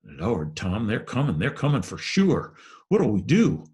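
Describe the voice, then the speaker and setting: A man's voice: fairly low pitch, huge pitch swings, a little slow, a little mellow, pitched above the performer's own voice. A man voicing a superstitious, frightened young boy in a storybook, with rustic, ungrammatical drawling talk.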